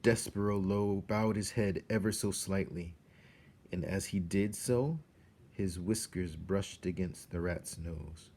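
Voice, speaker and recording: A man reading a children's novel aloud in an expressive, measured way, with dramatic pauses. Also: low-pitched. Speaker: storybook reader.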